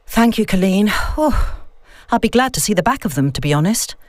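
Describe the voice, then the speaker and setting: A woman's voice: medium pitch, animated, a little fast, darkish tone, no room sound, voice pushed up, natural voice. Woman doing a nervy middle-aged lady in an audiobook, curious but wary, voice goes up and down. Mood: uneasy, relieved. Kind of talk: audiobook dialogue.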